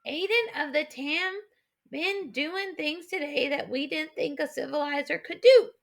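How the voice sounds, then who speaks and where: Lady doing a proud old swamp chief for an audiobook, folksy n hearty, high creaky voice, backwoods talk like a big ceremony speech. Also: a little slow. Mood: proud, declaiming. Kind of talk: children's adventure audiobook character voice.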